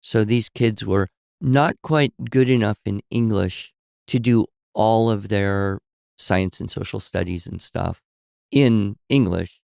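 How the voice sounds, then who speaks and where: Low gravelly man telling stories. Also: slow pace, a little mellow, no room sound, studio quality. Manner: anecdotal, explains with examples and asides. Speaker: podcast co-host. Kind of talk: conversational storytelling.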